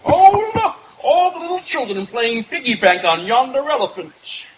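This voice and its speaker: An actor playing a pompous, gluttonous cartoon gentleman in a radio play, with flowery, theatrical speech. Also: very high voice, sing-song, moderate pace, poor audio. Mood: grandiose. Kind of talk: old-time radio cartoon acting. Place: outdoor stage in bamboo woods. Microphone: stage stand microphone. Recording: live outdoor stage, background noise.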